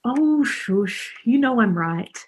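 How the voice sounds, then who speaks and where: A woman's voice: a little high, animated, takes it slowly, a little hiss or hum. A woman acting a chatty, cheeky, friendly character in a radio play, speaking informally and forthrightly. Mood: earnest, forthright. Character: Laura, chatty, cheeky, friendly young woman befriending Death.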